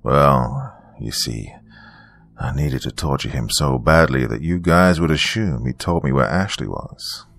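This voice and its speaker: A man voicing a charming but menacing serial killer in a thriller story, deep-voiced, smooth, taunting and boastful. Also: unhurried, a bit bright, heavily acted. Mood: cruel boasting.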